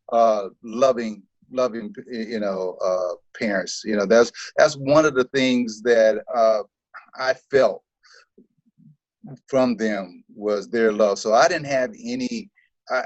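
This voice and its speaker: A man's voice: mid-range voice, unhurried, dry recording. A middle-aged man born in Korea and raised in the US Midwest, answering interview questions in an easygoing way. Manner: easygoing, laughs often. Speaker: guest. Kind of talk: casual interview conversation. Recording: dull, muffled recording.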